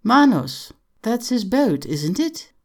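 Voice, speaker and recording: A woman's voice: on the high side, very animated, takes it slowly, a little mellow, voice pushed up, heavily acted. A woman voicing a small, anxious English man in his twenties in an audiobook, earnest in manner. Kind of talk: audiobook character dialogue.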